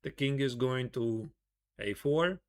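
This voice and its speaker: A man's voice: medium pitch, slow. Latvian-accented man commentating on chess. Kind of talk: live chess commentary, casual.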